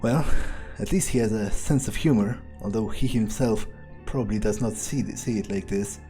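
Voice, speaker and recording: A man giving in-character game commentary, musing aloud in a halting, sardonic and profane way. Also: lowish voice, mellow, soft background music. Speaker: gamer playing in character.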